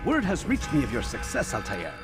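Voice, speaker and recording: A man's voice: mid-range voice, huge pitch swings, fairly quick. An actor playing an affable, evasive informant to assassins in a video game, musing as he talks. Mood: musing, placating. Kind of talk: dramatic video game acting. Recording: loud music behind the voice.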